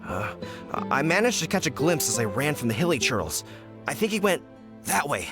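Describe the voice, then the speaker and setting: A man's voice: mid-range voice, very animated, moderate pace, over music, close to an everyday voice. A man voicing a flustered, indignant village fruit merchant in a video game, exasperated and dramatic. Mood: uncertain. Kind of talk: animated video game voice acting.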